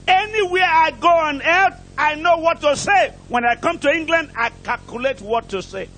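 A man's voice: very high voice, normal speed, sharp tone, soft background music. A man preaching a fervent charismatic sermon, emphatic and declamatory, building on repeated phrases and rhetorical questions. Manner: rhetorical questions put to the congregation. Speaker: visiting preacher.

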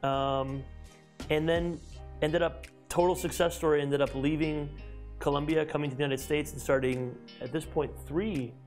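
An energetic man in his thirties hosts an interview in a glass-walled office. He talks fast and eagerly, restarting his sentences and piling on fillers. A lapel mic picks him up, and soft music plays faintly behind him. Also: fairly high pitch. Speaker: host. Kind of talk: enthusiastic interview intro.

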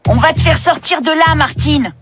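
A woman voicing a cheeky, mocking older-brother boy in a children's cartoon, with sarcastic, expressive delivery. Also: high-pitched, normal speed, music in the background, natural voice. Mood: confident. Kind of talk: French animated children's series dubbing.

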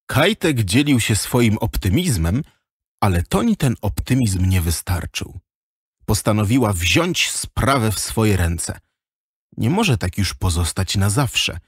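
A man's voice: fairly low pitch, sing-song, slow pace, slightly rough, no room sound, clean studio sound. A man narrating a children's audio drama in a scripted storybook style.

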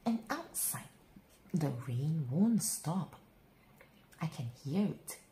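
Female storyteller for kids' picture books, unhurried and hugely expressive, dramatic phrasing, recorded in an echoey room with faint noise. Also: low voice. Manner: dramatic, deliberate phrasing with drawn-out words. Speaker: picture book reader. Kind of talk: expressive children's bedtime story read-aloud. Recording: roomy echo, faint background noise.

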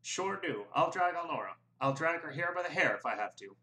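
Laconic bony clerk, voiced by a male reader; offhand drawl, a little high. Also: lively intonation, fairly quick, pushed and bright. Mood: pleased. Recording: roomy echo.

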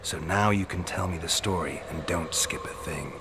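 A low-voiced man acting a stern monster hunter from a dark fantasy game. He speaks bluntly and gruffly, keeping himself controlled. Clearly noisy game ambience sits behind him. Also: moderate pace, natural voice. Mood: stern, pressing.

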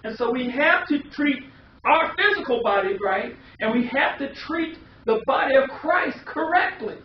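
A man's voice: extremely high pitch, expressive, normal speed. A middle-aged American man preaching a sermon in an emphatic way, with repeated phrases and rhetorical questions. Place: small indoor room. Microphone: distant camera microphone. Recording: echoey, muffled, distant camera audio with hiss.

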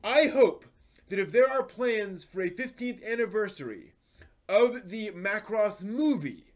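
Man, middle-aged. High voice, deliberate slow pace, overdramatic aggrieved delivery of a written rant. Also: expressive. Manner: theatrical, indignant, emphatic. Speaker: host reading old forum posts. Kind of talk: exaggerated dramatic reading. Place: home room lined with bookshelves.

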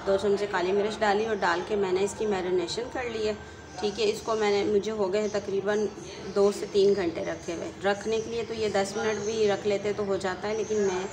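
A woman with a Pakistani Urdu accent hosting a casual home cooking tutorial, talking chattily and tagging each step with a quick check-in. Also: mid-range voice. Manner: chatty, repeats "theek hai" after each step. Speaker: home cooking channel host.

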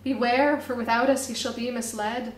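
A woman's voice: a little high, steady tone, normal speed, a little hiss or hum, natural voice. Slick homeless snake poet, played by a woman, grand rhyming plea, echoey and distant. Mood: pleading. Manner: grandiose, pleading, rhyming recital. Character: slick male snake vagrant busker poet.

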